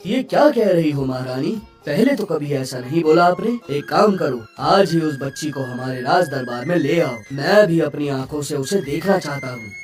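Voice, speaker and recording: A man's voice: a little high, animated, moderate pace, hardly any pauses, a little mellow, close to an everyday voice. Man acting a concerned cartoon king, distant. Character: concerned, reassuring king, adult husband of a queen. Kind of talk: Hindi cartoon story dialogue. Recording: distant sound with faint music.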